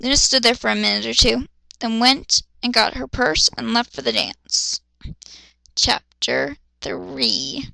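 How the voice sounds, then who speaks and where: A woman's voice: fairly high pitch, animated, unhurried, vocal fry, sharp tone, no echo, poor audio. A woman giving a dramatic reading with exasperated, sarcastic, foul-mouthed asides. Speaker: host of dramatic fan fiction readings.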